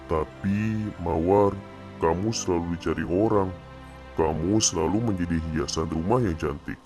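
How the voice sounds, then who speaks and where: A male teacher voicing a sad, envious bamboo plant in a deep, slow voice while reading a children's fable. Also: dark tone, soft background music, natural voice. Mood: glum wondering. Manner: deep, gravelly, slow and glum.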